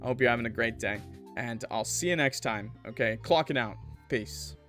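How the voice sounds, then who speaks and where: A young man giving casual, rambling let's-play commentary as a gaming YouTuber, chatty and quick to laugh, his voice a little worn. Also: medium pitch, a bit bright, faint music behind. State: losing his voice.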